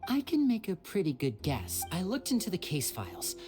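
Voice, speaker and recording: A woman voicing a composed, clever young magician sleuth, measured and confident, in a video game. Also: lowish voice, wildly swooping pitch. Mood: calm deduction. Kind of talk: dramatic video game voice acting. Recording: music under the dialogue.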